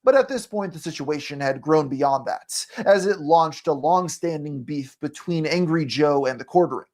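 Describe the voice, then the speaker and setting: A man reading scripted documentary narration in a measured, even way. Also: a little high.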